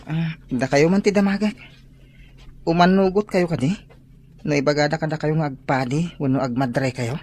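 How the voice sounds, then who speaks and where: A man's voice: on the high side, moderate pace, darkish tone, lightly acted. A man playing an earnest, polite young student in a radio drama, serious and hesitant.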